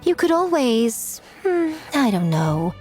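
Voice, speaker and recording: A poised, sly woman running a festival in an anime game. Her lively pitch swings as she teases playfully and takes her time. Music plays behind her. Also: slow. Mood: playful, teasing. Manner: playful, leisurely teasing.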